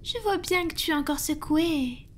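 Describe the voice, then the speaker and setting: A woman playing a powerful, protective female vampire in an ASMR roleplay, speaking in a soft, breathy, formal and self-assured way. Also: sits high, normal speed, slight background noise, natural voice. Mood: tender, reassuring. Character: powerful protective female vampire, commanding yet tender lover.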